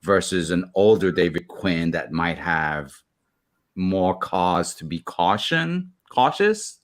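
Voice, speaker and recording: A man's voice: sits low, expressive, takes it slowly. A man co-hosting a podcast, working out his questions aloud and restarting phrases. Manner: searching, restarts phrases while forming questions. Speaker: podcast co-host. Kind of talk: casual podcast interview.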